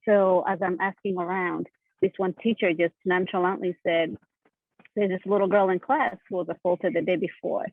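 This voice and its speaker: A woman's voice: fairly low pitch, steady tone, moderate pace, gravelly, no room sound. A woman from East Africa, a therapist and founder, telling her story earnestly as a panel guest. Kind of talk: panel interview answers. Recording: tinny phone-like video call audio.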